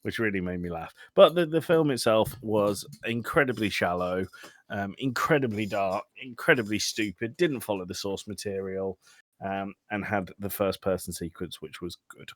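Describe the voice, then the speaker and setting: A man's voice: on the low side, moderate pace, no room sound. A man hosting a casual film review chat in a blunt, sweary, exasperated way. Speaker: podcast host.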